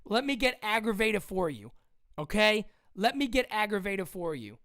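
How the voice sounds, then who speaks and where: Excitable man, voice strained from yelling. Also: sits high, moderate pace, gravelly. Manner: excitable, swings from muttering to yelling. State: straining his voice. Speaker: live-stream sports show host. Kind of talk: casual live-stream commentary.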